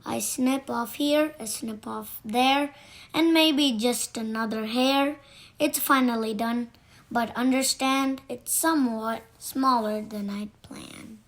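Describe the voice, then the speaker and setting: A woman reading children's poems aloud from a storybook in a deliberate way. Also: a little high, fairly even intonation. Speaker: storybook reader. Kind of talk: read-aloud children's poetry.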